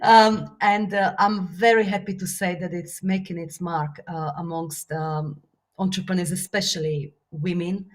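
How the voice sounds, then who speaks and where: Slovak lady guest in an interview, lowish voice, thoughtful, lots of uhs and ums. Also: fairly even intonation, a little slow. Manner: earnest, reflective, many fillers, laughs softly. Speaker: guest, business author and coach. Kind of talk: casual interview talk.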